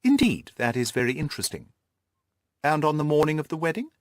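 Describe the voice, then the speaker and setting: A man voicing a suave, composed detective who questions a client briskly and inquisitively in an audiobook. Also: on the high side, very animated, dry recording, studio quality, voice pushed up. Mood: brisk, probing. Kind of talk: audiobook character dialogue.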